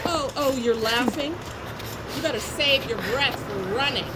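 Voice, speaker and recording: A woman's voice: high voice, unhurried, heavy background noise. An actress playing a tough female Army drill sergeant, impatient and commanding, giving clipped orders in an audio drama. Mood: scornful. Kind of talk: military audio drama acting. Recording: loud music over the voice.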